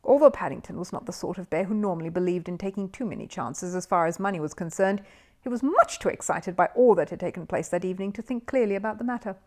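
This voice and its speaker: A woman reading a children's story aloud as an expressive narrator. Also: fairly low pitch, quick pace.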